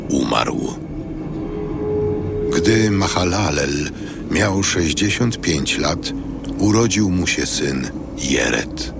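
A man narrating scripture from a script in a measured, solemn style. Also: deep voice, creaky, background noise. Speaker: narrator of an audio Bible.

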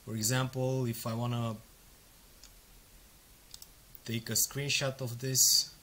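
A native Romanian man in his thirties records a screen walkthrough at his desk. His voice is bright and forceful at a middle pitch. He talks casually, step by step, with plenty of fillers. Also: flat delivery, slow pace, a little hiss or hum. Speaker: software demo presenter.

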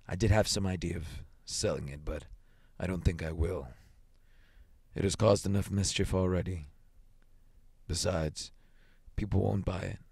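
Guy doing a weathered middle aged army man for a storybook, super low, quiet, solemn and gruff. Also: steady tone, a little slow, pauses often, no echo, clean studio sound, exaggerated voice. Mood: slow, reluctant.

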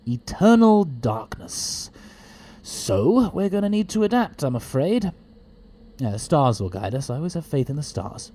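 A man playing an eccentric, good-natured time-travelling alien adventurer in an audio drama, hesitant, stammering and excitable. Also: a little high, unhurried. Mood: reflective.

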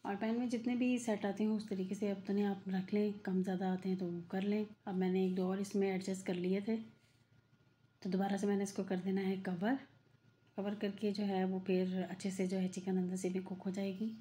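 A woman's voice: medium pitch, level tone, normal speed, warm tone. A middle-aged woman, giving a chatty, filler-heavy voice-over for her home cooking video. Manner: chatty, repetitive, many fillers. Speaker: cooking channel host. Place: home kitchen.

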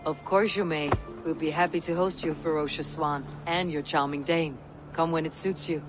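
A man's voice: a little high, moderate pace, music in the background, lightly acted. A man playing a blunt, wry Viking warrior chieftain in a video game cutscene, speaking steadily and with confidence. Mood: warm. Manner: steady, declarative, dryly wry.

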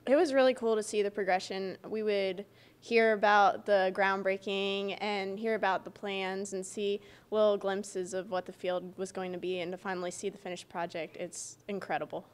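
A woman's voice: medium pitch, level tone, moderate pace, clean tone. Enthusiastic young woman athlete. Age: 20s. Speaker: college softball player. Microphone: handheld mic.

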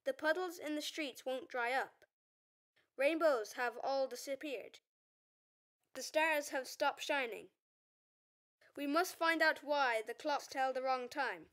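A woman's voice: sits high, fairly bright tone. A woman playing an earnest young messenger reciting a warning to a town in a radio play.

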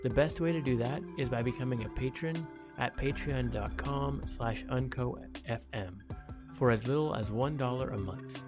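A man hosting a podcast, reading his intro and then chatting with a guest in a relaxed, slightly halting way. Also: medium pitch, over music. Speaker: podcast host.

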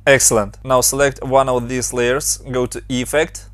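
A young man from Ukraine narrates a tutorial in his Ukrainian accent, moving briskly from one instruction to the next. His mid-pitched voice is recorded dry and close, with no room sound. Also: flat delivery, fairly bright tone, no echo. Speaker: motion design tutorial host.

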